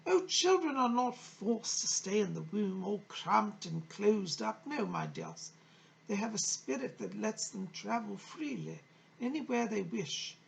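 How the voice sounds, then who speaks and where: A woman's voice: mid-range voice, gravelly, full character voice, rough recording. A woman voicing an effusive, motherly female spirit of a bygone era, teaching in a theatrical, genteel, endearing manner.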